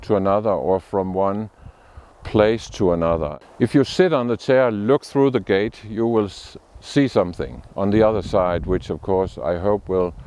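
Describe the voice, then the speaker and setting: A man from Denmark, a sculptor, speaking in a documentary interview with thoughtful, unhurried restarts. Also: on the low side, a little mellow, clean tone. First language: Danish.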